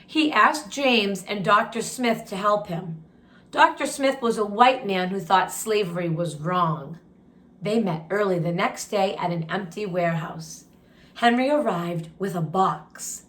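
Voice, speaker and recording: Slow-paced woman reading a story aloud. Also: mid-range voice, a bit bright. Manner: deliberate, pausing to comment and ask questions. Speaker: storytime reader and teacher. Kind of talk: expressive read-aloud storytelling with asides to children.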